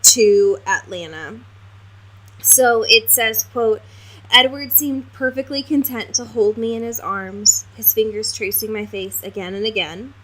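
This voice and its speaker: A woman's voice: a little high, measured pace, a bit bright, rough recording. A woman co-hosting a podcast, casually discussing a book in a wry, informal way. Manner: wry, informal, peppered with mild swearing. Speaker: podcast co-host.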